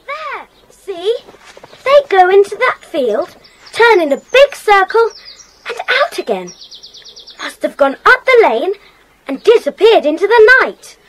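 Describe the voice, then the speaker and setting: A young schoolgirl in a children's mystery play, very high and animated. She is earnest, observant and decisive. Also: measured pace, a little hiss or hum, full character voice. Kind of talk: children's audio drama acting.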